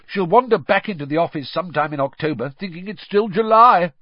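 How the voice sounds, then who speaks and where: A boisterous, middle-aged wizard official from a storybook, acted out by a man. He booms along eagerly with a carefree, boyish cheer. The pitch leaps about over a slightly rough, husky grain, recorded dry. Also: sing-song, normal speed, no room sound, muffled recording, heavily acted. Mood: comfortable, breezy. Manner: booming, eager, cheerfully careless.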